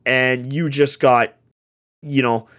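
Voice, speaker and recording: A young man on a casual sports chat, ranting in an exasperated way with rhetorical questions, his voice going hoarse. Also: mid-range voice, steady tone, slow, fairly bright tone. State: getting hoarse. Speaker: podcast co-host, hockey fan.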